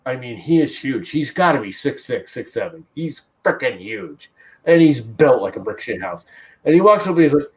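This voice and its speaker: A man's voice: mid-range voice, sing-song, normal speed, low-quality recording. A man streaming and chatting casually while preparing a 3D print, rambling and swearing as he tells stories.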